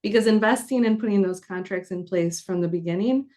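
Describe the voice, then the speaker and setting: A woman's voice: medium pitch, fairly quick, a little mellow. A woman in her thirties from the Chicago area, a corporate attorney explaining things earnestly on a podcast interview. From Chicago area, United States.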